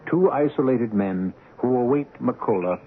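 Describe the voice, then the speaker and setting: A man's voice: medium pitch, animated, unhurried, slightly rough. Casting: male radio drama narrator, theatrical, swooping intonation, lo-fi broadcast with music underneath. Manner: theatrical, portentous. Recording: muffled old radio broadcast.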